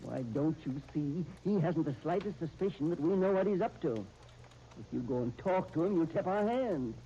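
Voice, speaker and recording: An actor playing a shrewd, emphatic professor and legal counsel in a 1930s radio soap opera. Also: male voice, fairly high pitch, expressive, normal speed, mellow. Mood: cautioning. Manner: emphatic, cautioning, old-fashioned slangy talk. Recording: muffled, hissy 1939 radio disc recording.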